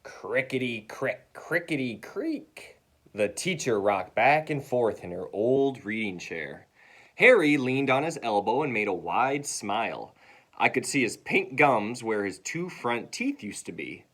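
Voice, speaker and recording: Animated man reading a children's story. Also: mid-range voice, a little slow. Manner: expressive, acts out sounds and dialogue.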